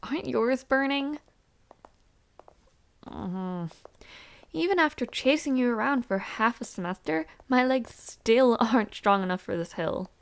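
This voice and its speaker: A woman's voice: on the high side, lively intonation, a little slow, gravelly, long pauses, clean tone. A young woman playing a chatty, over-prepared university student in a roleplay audio drama, talking casually and a little hesitantly. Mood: winded, complaining. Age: young adult.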